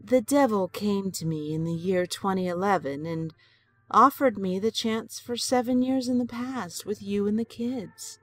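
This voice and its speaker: An actress playing an elderly grandmother and wife, gentle and earnest, in an audio drama. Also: medium pitch, measured pace, natural voice. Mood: troubled. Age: older. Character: elderly grandmother, lonely and worried.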